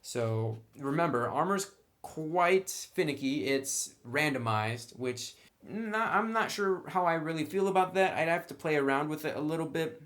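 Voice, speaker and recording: A man's voice: fairly high pitch, expressive, a little slow, fairly bright tone. A man presenting a tabletop game review in a casual, conversational way, explaining things step by step straight to the viewer. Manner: conversational, instructional, addresses the viewer directly.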